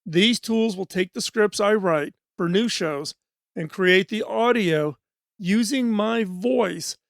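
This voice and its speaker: A middle-aged man hosting a podcast in a studio. He talks in an earnest, measured, scripted way, and his voice is hoarse. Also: sits high, a bit bright, no room sound. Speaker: podcast host.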